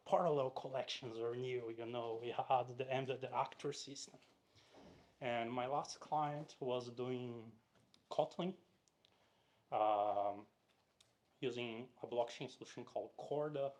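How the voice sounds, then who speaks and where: A man's voice: mid-range voice, darkish tone. A man from Brazil giving a casual technical talk to a live audience, chatty and full of fillers and restarts, often asking the crowd questions. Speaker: conference speaker. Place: meetup room in front of an audience.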